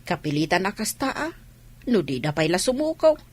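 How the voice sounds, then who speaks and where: Husky, animated village woman acted by a woman. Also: on the low side, moderate pace. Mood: matter-of-fact. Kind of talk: Ilocano radio drama acting.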